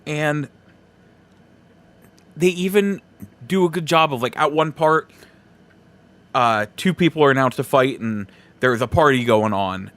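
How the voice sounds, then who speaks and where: A man with a slightly high-pitched voice talks casually as a solo podcast host. His delivery is slow and halting, broken into short phrases, with emphatic stresses on key words. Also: a bit bright. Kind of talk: casual solo opinion talk.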